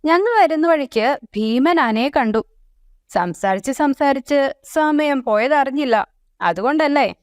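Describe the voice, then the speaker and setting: A woman's voice: high voice, animated, a little slow, dry recording, studio quality. A woman voicing a carefree, food-loving deer in a children's story, easygoing and coaxing. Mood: placating.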